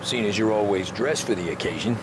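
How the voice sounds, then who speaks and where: Man playing laconic american mob hitman from the 30s, lowish voice, dry, loud car noise, game cutscene. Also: a little fast, close to an everyday voice. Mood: dry, wry. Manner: laconic, understated. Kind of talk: cinematic crime game acting.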